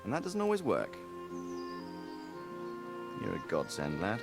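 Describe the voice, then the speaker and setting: A man's voice: lowish voice, wildly swooping pitch, measured pace, creaky, loud music behind the voice, heavily acted. A man voicing a fatherly, philosophical medieval lord in a video game, reflective and authoritative. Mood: approving, fatherly.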